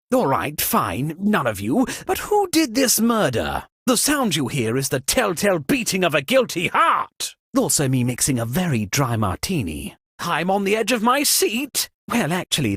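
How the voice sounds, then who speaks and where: Pompous, flippant lord with swooping pitch. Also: male voice, fairly bright tone, slightly rough, dry recording, studio quality, full character voice. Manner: theatrical, arch, mock-genteel aristocratic delivery. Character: pompous, flippant, wealthy lord hosting a murder-mystery party. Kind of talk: comedic video game character lines.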